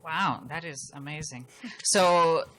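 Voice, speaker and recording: Middle-aged Greek-accented woman panelist, bright and forceful voice, chatty with lots of restarts. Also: deep voice, takes it slowly, rough recording. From Greece. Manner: chatty, self-deprecating, many restarts. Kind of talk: panel talk.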